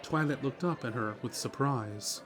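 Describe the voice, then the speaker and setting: A man's voice: medium pitch, normal speed, a bit bright. A man reading scripted story narration as the narrator of a dramatic reading. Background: music.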